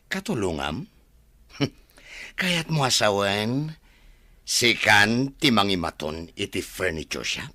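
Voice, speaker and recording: A man plays a scheming young man in an audio drama who hires thugs and sneers at the people around him. His voice is low and bright, lively in pitch, and it sounds dry and close. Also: slow, pauses often, no room sound, full character voice. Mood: scornful.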